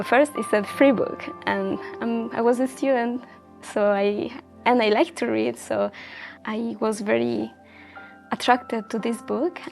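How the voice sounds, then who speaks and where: A woman's voice: on the high side, creaky, darkish tone. A woman in her twenties from Ecuador, speaking as a guest sharing her personal story in an earnest, reflective way with many fillers. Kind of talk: personal testimony in a casual chat.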